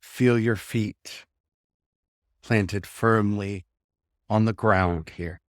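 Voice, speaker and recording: A man leading a guided meditation, giving gentle instructions in short phrases with unhurried pauses. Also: fairly low pitch, steady tone, dry recording, clean studio sound.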